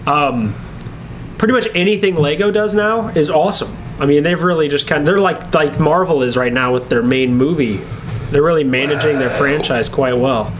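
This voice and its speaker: A man with a slightly high voice chats informally as a show co-host. He sounds easygoing and unscripted, talking in loose conversational phrases. There is light noise behind him. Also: normal speed. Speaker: co-host of a video game and movie talk show. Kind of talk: casual unscripted chat. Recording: clear background noise.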